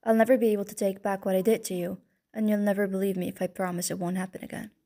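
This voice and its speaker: A woman narrator voicing a remorseful young man who pleads quietly. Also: medium pitch, brisk, lightly acted. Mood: pleading.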